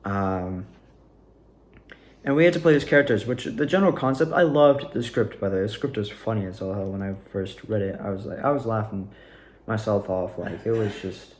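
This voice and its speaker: A man's voice: fairly low pitch, normal speed, slight background noise. A young adult man rambling casually and candidly about his own experiences, like a student actor giving a personal recap. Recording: roomy, echoing room sound.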